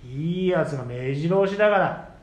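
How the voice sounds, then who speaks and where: A man co-hosting a casual podcast chat, bantering and quick to retort with questions. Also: fairly high pitch. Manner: animated, quick to retort and question his partner. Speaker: podcast co-host, the senior of the duo. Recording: roomy echo, faint background noise.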